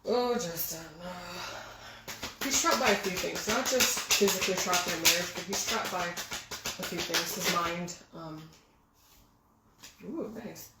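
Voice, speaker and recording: A woman giving a casual, excitable tarot reading, chatting and musing aloud with many fillers. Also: fairly low pitch, a little slow, pauses often. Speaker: tarot reader. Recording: roomy with faint background noise.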